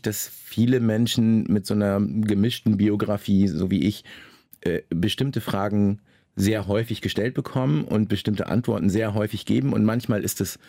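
A man being interviewed about his novel, answering in a measured, reflective way with frequent pauses and restarts. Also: on the low side, warm tone, dry recording, studio quality. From Germany, Lower Saxony.

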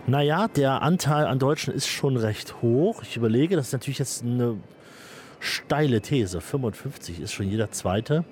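A man's voice: medium pitch, animated, moderate pace, darkish tone, a little hiss or hum. A man hosting a casual radio interview outdoors on a city square, chatty and joking, with plenty of fillers. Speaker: radio host.

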